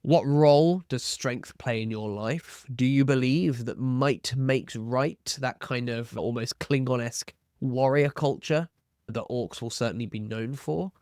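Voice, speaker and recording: A man hosting a tabletop roleplaying tips video, explaining in a measured, semi-scripted way and stressing key terms. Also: mid-range voice, darkish tone, dry recording, studio quality.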